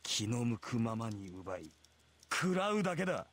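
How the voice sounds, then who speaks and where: A man's voice: mid-range voice, sing-song, a little fast, fairly bright tone. An actor voicing an arrogant, merciless heroic king in a visual novel, with a haughty, sneering and imperious manner. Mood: amused, predatory. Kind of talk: Japanese visual novel voice acting.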